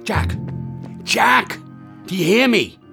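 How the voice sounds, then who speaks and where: An actor playing a brisk, urgent investigator on a case in a radio drama. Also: male voice, sits high, animated, creaky, bright, forceful tone, faint music behind, full character voice.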